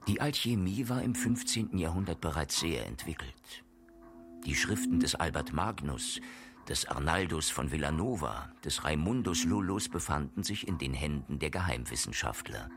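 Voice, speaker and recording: A man's voice: a bass voice, animated, moderate pace. A man delivering scripted, essay-like radio drama narration in a formal, rhetorical manner. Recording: music playing behind.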